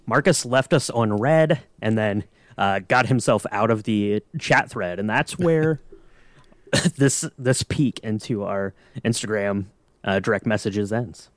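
A man's voice: fairly low pitch, measured pace, dry recording. A man co-hosting a podcast, chatting casually and playfully in mock-serious fan banter. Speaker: podcast co-host.

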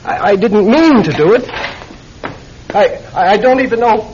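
An actor playing a distraught military airman in a radio drama, halting and stammering as he speaks. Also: sits high, animated, a little mellow, a little hiss or hum. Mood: anguished. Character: anguished military airman confessing to a killing. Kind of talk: old-time radio drama acting. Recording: dull old radio recording.

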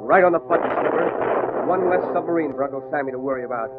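Through a tinny old radio recording with music behind, a man plays a wartime airman. He is steady, gloating and wisecracking, in 1940s radio style. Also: fairly high pitch, fast, lightly acted.

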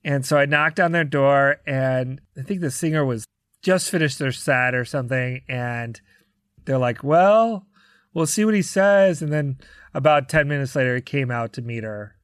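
An American man casually telling anecdotes as a podcast guest, acting out what people said in an animated way. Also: medium pitch, normal speed, clear, resonant tone, no room sound, clean studio sound. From United States, Chicago area. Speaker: podcast guest, touring rock drummer.

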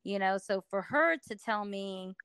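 A woman's voice: medium pitch, animated, unhurried, fairly bright tone, smooth, no room sound, studio quality. A middle-aged woman from Alabama, telling her story as a guest in a casual interview, chatty with many fillers.

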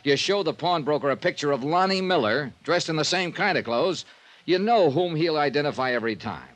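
A man's voice: fairly high pitch, animated, a little fast, a bit bright. A man with an American accent acting a dogged, wry investigator who narrates an old-time radio detective drama in clipped, insistent phrases. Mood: insistent, persuasive. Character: hard-boiled male investigator, dogged, wry, persuasive. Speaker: narrator and lead character.

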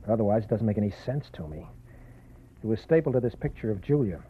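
A man's voice: lowish voice, lively intonation, fast talker, slight background noise, close to an everyday voice. An actor in his twenties playing a beaten-up, earnest young man who talks wearily. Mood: weary. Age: 20s. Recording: dull lo-fi old radio broadcast.

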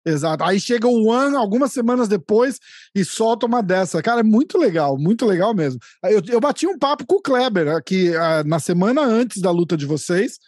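High-voiced, chatty Paulista host. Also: male voice, very animated, a little fast, a little mellow, dry recording, clean studio sound. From São Paulo, Brazil. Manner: chatty and enthusiastic, slangy. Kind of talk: casual interview chat.

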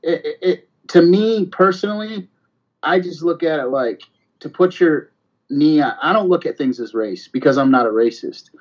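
Male podcast host, on the high side, profane and slangy, repetitive and blunt, dull lo-fi sound. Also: lively intonation, moderate pace. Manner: blunt, repeats himself, slangy street talk. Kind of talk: casual, profane podcast chat.

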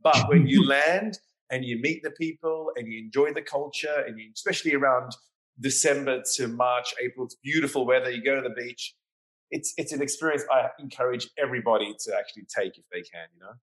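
A man's voice: mid-range voice, brisk, a bit bright, rough recording. Australian man telling stories. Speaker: hairdresser guest. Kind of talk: casual interview storytelling.

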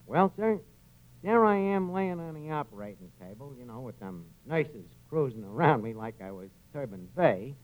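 Tinny old-radio actor as a pontificating New wiseguy. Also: male voice, mid-range voice, sing-song, normal speed, lightly acted. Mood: boastful storytelling. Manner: mock-grand pontificating in slangy, ungrammatical speech. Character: uneducated, philosophizing tavern manager, malapropism-prone wiseguy. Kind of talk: classic radio comedy acting. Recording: tinny, noisy vintage radio broadcast.

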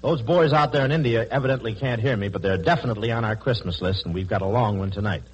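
A man's voice: fairly low pitch, fast. A man hosting a live 1940s radio broadcast from the studio, on an old recording. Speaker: radio show host in the studio. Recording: dull, faintly noisy 1940s radio recording.

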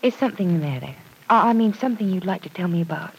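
A woman playing a kindly, sympathetic lady of the house in a classic radio drama, with a gentle, reassuring manner. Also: lowish voice, expressive, very fast, breathy edge, low-quality recording.

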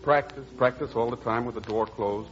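An actor playing an earnest young stepson back from the navy, in 1940s radio acting style. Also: male voice, medium pitch, brisk, natural voice. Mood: casual, earnest. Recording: dull old radio recording, faint music.

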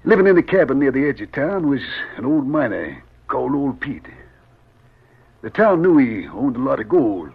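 An actor playing a weary, wary middle-aged drifter in an old radio western, with a husky voice and folksy frontier speech. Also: male voice, mid-range voice, very animated, normal speed, full character voice. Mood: earnest, troubled. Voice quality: husky, gravelly. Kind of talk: 1940s radio western acting. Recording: muffled old radio recording.

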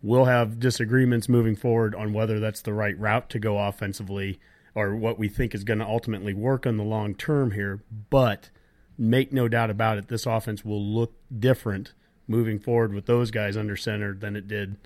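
A man's voice: on the low side, little pitch movement, fairly quick, a little mellow, dry recording. A man co-hosting a casual football podcast, emphatic and opinionated, often correcting himself. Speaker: podcast co-host.

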